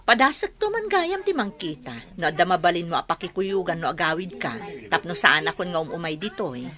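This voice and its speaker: A woman's voice: lowish voice, very animated, fairly quick, fairly bright tone. A woman playing a worried, fussy aunt in a radio drama, speaking in an insistent, cautioning way. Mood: reluctant.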